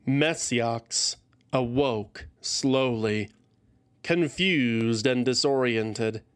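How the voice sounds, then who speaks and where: A man reading scripted fantasy fiction as a narrator, in a solemn, deliberate and dramatic way. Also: mid-range voice, clean tone.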